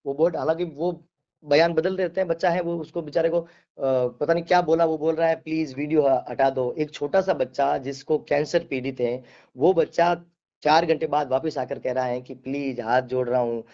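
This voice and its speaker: A man with a somewhat high voice answers in a phone interview. He is emphatic and defensive, repeating phrases to press his point. The call recording is dull and lo-fi. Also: fairly even intonation, moderate pace, warm tone. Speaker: devotional singer being interviewed. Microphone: telephone.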